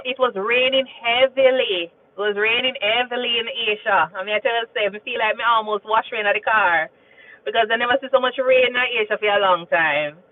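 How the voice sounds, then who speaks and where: A talkative Jamaican woman hosting a relaxed livestream, answering viewers and drifting into stories, often checking in with "you understand?". Her voice comes through a thin, tinny, phone-like signal. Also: on the high side. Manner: chatty and storytelling, repeats phrases and asks "you understand?". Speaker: nurse and livestream host. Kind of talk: casual livestream chat reading viewer comments.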